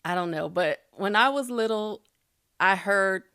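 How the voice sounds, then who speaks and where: A woman's voice: medium pitch, a little slow, sharp tone, smooth, no echo, studio quality. A middle-aged woman giving YouTube tips in a casual, chatty way, with light laughs and loose restarts. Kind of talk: casual spoken tutorial.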